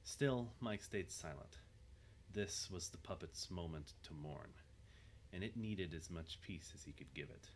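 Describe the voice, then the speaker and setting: A man with a low voice narrates an audio drama. He reads scripted, dramatic prose as the storyteller. There is faint noise behind him. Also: animated, a little slow, poor audio.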